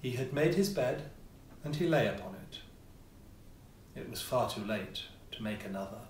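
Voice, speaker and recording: A man reading a classic ghost story as scripted narration, with measured, theatrical phrasing and pauses. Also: medium pitch, lively intonation. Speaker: audiobook reader, amateur player. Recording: roomy echo, distant mic, faint noise.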